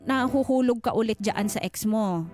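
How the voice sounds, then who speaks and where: A woman's voice: a little high, moderate pace, darkish tone. A Filipino woman co-hosting a radio advice show, talking in a blunt, colloquial, casual way. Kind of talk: casual conversational advice on air.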